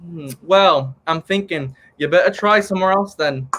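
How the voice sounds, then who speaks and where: Over clear background noise comes a folksy cart driver, acted by a young man. He is doubtful and rustic, talking in old radio-play style. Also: fairly high pitch, moderate pace, lightly acted. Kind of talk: 1930s-style radio drama acting. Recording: noisy home recording with clicks.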